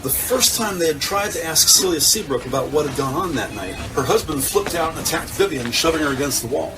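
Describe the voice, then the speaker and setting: A man's voice: a little high, animated, fairly quick, far from the mic, rough recording. A man reading scripted dramatic narration as the narrator of an audio drama. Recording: music bed behind.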